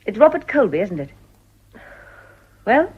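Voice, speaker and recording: A shrewd upper-class English mother of middle age in a period radio drama. She is composed and probing, with a lively rise and fall in her mid-pitched voice. The recording is slightly dull. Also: breathy edge. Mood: shrewd, firm. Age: middle-aged. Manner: measured, probing, formal upper-class speech.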